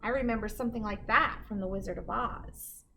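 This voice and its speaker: A woman's voice: mid-range voice, a little fast, vocal fry, bright, forceful tone, slight background noise. A woman reading a children's storybook aloud like a teacher, with dramatic emphasis and chatty asides to her listeners.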